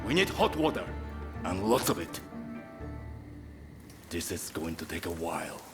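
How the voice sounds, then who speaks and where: A man's voice: sits low, sing-song, measured pace. An actor playing a commanding man who takes charge in a dramatic video game. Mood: urgent, commanding. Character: brisk, commanding man taking charge of an emergency. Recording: music behind the voice.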